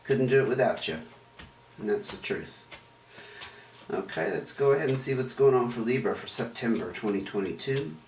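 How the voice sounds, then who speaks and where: Hoarse man reading tarot cards. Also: medium pitch, lively intonation, normal speed, darkish tone. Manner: chatty, rambling, self-correcting. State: hoarse, voice rough and deeper from illness. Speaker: tarot reader and channel host. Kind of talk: casual tarot card reading to camera. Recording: roomy echo, distant mic, faint noise.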